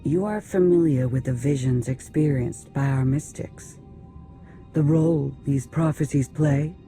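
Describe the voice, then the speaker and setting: A man's voice: fairly high pitch, measured pace, warm tone, faint music behind. An actor playing a solemn, prophetic male alien council leader in a video game, with a formal delivery. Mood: solemn foreboding. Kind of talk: video game cutscene acting.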